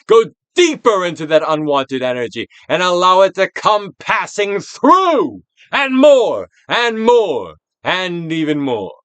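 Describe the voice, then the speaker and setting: Male stage-actor narrator for a guided self-help reading. Grand, declamatory, very wide melodic range, drawn-out emphasis, recorded dry. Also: sits high, big pitch swings, a bit bright, clean tone, no room sound. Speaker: audiobook reader, actor. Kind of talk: theatrical dramatic reading of a self-help book.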